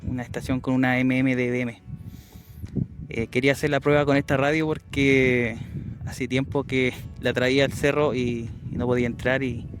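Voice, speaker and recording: A Chilean man speaks in a medium-pitched voice as he works a ham radio outdoors. His manner is courteous and casual, with radio call signs and phonetic spelling. Music plays clearly behind him. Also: flat delivery, moderate pace. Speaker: amateur radio operator and vlogger. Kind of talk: casual field vlog and ham radio exchange. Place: outdoors on a windy hilltop.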